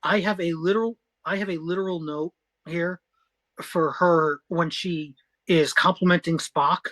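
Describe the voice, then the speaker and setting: A woman's voice: lowish voice, steady tone, unhurried, fairly bright tone. A woman co-hosting a review podcast, chatting in an emphatic, opinionated way with frequent interjections. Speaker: podcast co-host. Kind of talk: animated review chat.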